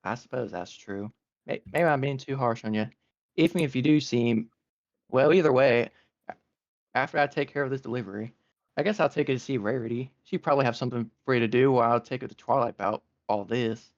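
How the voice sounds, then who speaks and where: A man's voice: medium pitch, brisk, lightly acted. A man voicing a stern but fair female farm pony who speaks with folksy country phrasing, on a dull lo-fi mic.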